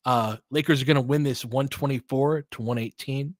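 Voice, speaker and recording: A young man hosting a casual live game stream, giving play-by-play and chatting with viewers by name in a relaxed, conversational way. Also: medium pitch, moderate pace, dry recording, clean studio sound. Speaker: host of a live game watch-along stream.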